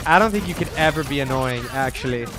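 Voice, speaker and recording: A man's voice: mid-range voice, animated, fast, bright, forceful tone. A man streaming live, joking around and reading out viewers' questions in a casual chat. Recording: noisy stream mic with clicks.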